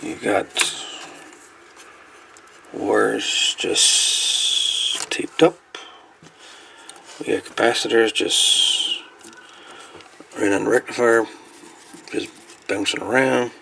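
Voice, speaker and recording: A man with a creaky, gravelly voice gives casual, halting hands-on repair commentary, muttering to himself. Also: on the low side, steady tone, pushed and bright, a little hiss or hum. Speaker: host of a radio repair video.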